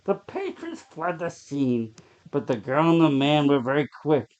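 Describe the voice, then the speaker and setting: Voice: mid-range voice, expressive, measured pace, a little mellow, muffled recording. A narrator reading a scripted horror short story aloud.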